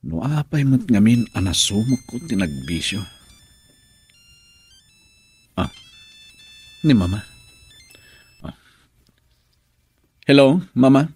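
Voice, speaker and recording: A man's voice: medium pitch, very animated, takes it slowly, long pauses, darkish tone, breathy edge, heavily acted. A man playing a troubled, dutiful husband, brooding and earnest, in a Filipino radio drama in Ilocano. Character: troubled husband, dutiful son-in-law running the family business.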